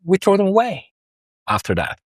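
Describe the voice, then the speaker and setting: A man's voice: high voice, huge pitch swings, normal speed, dry recording, clean studio sound. Hesitant man explaining data projects. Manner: hesitant, many restarts and fillers. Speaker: data consultant. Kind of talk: casual business conversation.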